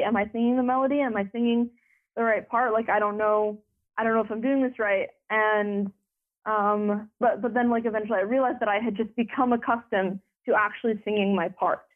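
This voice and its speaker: Young woman talking over a phone line. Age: young adult. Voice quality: deeper voice. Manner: rambling, lots of filler words and restarts. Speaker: interview guest. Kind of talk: conversational interview.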